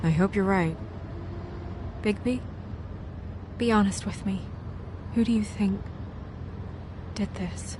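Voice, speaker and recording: A woman's voice: mid-range voice, takes it slowly, pauses often, noisy background, natural voice. A woman playing a weary, conscientious public servant in a video game, earnest, reflective and hesitant. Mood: uneasy. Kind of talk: naturalistic video game voice acting. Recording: very loud music under the voice.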